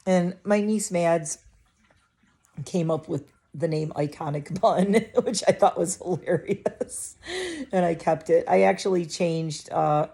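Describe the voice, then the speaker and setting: A woman's voice: sits low, creaky, dark tone. A middle-aged woman vlogger chatting casually to camera from her living room, rambling and interrupting herself, a little stuffy with a scratchy throat.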